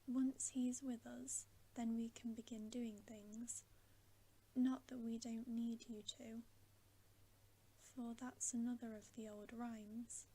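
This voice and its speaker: A stern, loyal talking beaver from a storybook , put on by a woman. He speaks in a slow, earnest hush, stressing his words, with a soft mellow tone. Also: on the high side, flat delivery, breathy edge. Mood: earnest, solemn. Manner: emphatic, earnest, hushed. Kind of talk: whispered storybook character reading.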